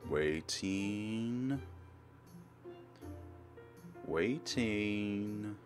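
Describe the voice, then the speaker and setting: A man's voice: fairly low pitch, animated, drawn-out words. A man hosting a let's play, reading game text aloud and commentating with wry, theatrical asides. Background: game music.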